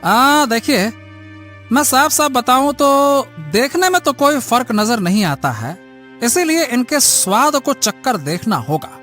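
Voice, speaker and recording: Man doing a slow, muttering village expert. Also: extremely high pitch, very animated, sharp tone, faint music behind, exaggerated voice. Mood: pondering. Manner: deliberate, muttering appraisal. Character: fussy male village vegetable expert examining produce.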